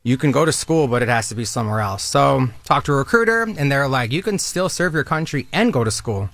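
A man's voice: mid-range voice, lively intonation, a little fast, a bit bright, no echo. A man in his thirties from Southern California, a military recruiter speaking as a guest in a radio interview in an upbeat, persuasive, conversational way.

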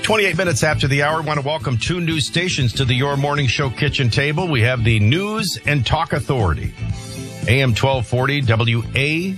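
A man's voice: fairly high pitch, expressive, normal speed, music in the background. A man hosting a morning radio talk show, speaking in a conversational news commentary style.

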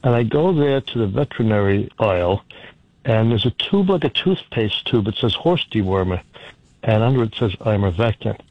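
A man's voice: fairly low pitch, animated, dry recording. A male caller who talks in a chatty, rambling way, stumbling and restarting as he goes. His voice comes through a dull, slightly lo-fi line with a dry sound. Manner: chatty, rambling, halting storyteller. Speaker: radio caller. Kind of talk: phone-in conversation.

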